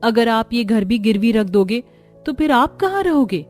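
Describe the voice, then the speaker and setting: A woman's voice: on the high side, animated, moderate pace, a little mellow, faint music behind, natural voice. A woman voicing a humble, earnest young daughter from a poor family in a moral-story cartoon. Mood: pleading.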